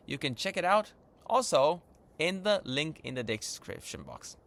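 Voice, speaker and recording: Lively Japanese-accented man, eager host. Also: fairly high pitch, unhurried, vocal fry. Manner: eager, earnest, upbeat. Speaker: channel host. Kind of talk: enthusiastic vlog-style lesson intro.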